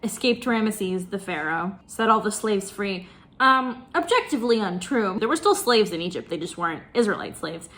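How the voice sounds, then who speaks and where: A woman's voice: mid-range voice, expressive, moderate pace, a bit bright. A woman doing casual reaction commentary in a wry, amused, sarcastic way. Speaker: reaction video host.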